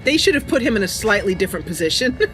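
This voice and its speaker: A woman's voice: mid-range voice, big pitch swings, fast talker, a bit bright, soft background music, poor audio. A woman giving casual, playful commentary as host of a gaming stream. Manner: giggly, playful. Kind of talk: casual live gameplay commentary.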